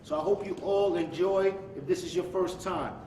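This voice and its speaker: A man's voice: high-pitched, normal speed, darkish tone. A man giving a formal public introduction as the host of a staged reading at a live event. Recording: echoey room, distant mic, faint noise.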